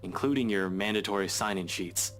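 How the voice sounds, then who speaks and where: A man's voice: fairly low pitch, a little fast, a bit bright, lightly acted. An actor playing a stubborn, protective male investigator with a dry, self-assured manner in a visual novel game. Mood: firm, businesslike.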